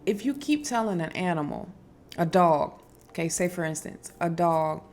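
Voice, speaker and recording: A woman hosting a casual spiritual advice talk, speaking emphatically with fillers and restarts and a strong, loud voice. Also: fairly low pitch, animated, moderate pace, slight background noise. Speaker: spiritual wellness host.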